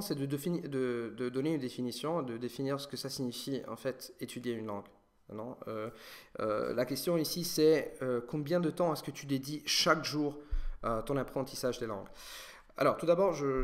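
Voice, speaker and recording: A young Italian man answering viewer questions in a casual monologue, pausing and restarting often as he thinks aloud. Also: mid-range voice. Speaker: language learning video host.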